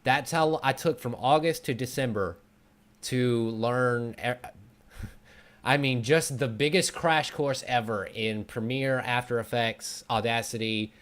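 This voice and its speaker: A man's voice: mid-range voice, slow, a bit bright. A man talking as a guest in a casual conversation, explaining things as an online video creator.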